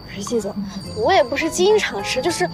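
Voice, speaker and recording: A young actress playing a playful, stubborn young food lover in a TV romance drama. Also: female voice, high-pitched, very animated, a little fast, music in the background. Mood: eager, coaxing. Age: young adult.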